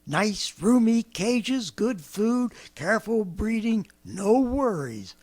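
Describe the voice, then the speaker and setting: A man's voice: sits high, big pitch swings, takes it slowly, darkish tone, heavily acted. An actor playing a rambling, boastful former militiaman who laughs to himself as he lays out his survival plan, in 1930s radio drama style. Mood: scheming.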